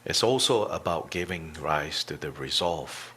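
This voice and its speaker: A man teaching a guided meditation, speaking deliberately in short phrases with long pauses between them. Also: rumbling low, lively intonation, bright, forceful tone, breathy edge.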